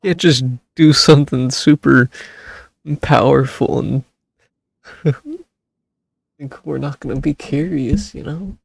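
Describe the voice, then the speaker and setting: Slow, very creaky laid-back male streamer. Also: medium pitch, no echo. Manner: laid-back, slangy, chuckling. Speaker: streamer reacting to a game story. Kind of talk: casual gameplay reaction commentary.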